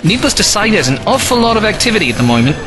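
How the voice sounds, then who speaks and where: Keen conspiracy-nut hacker male actor, higher-pitched, lively delivery, audio drama with music behind. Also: rapid-fire. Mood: eager. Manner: eager, explanatory. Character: eager alien-obsessed computer hacker. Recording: loud music bed behind the voice.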